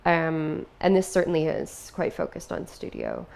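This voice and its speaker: A woman's voice: deep voice, huge pitch swings, moderate pace, creaky, a little mellow. A woman art student speaking in a documentary interview, conversational and leaning on filler phrases.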